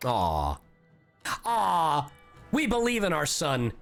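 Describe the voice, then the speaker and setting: Very deep, animated woman game streamer. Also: slow, gravelly, faint music behind. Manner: blunt, joking, sweary. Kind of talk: casual live-stream reaction commentary.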